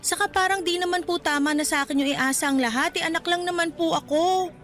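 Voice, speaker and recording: A woman's voice: extremely high pitch, fast, a bit bright, faint music behind. A woman playing a hurt, respectful young adult daughter protesting plaintively in a Filipino radio drama. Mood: hurt, pleading. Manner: plaintive, pleading.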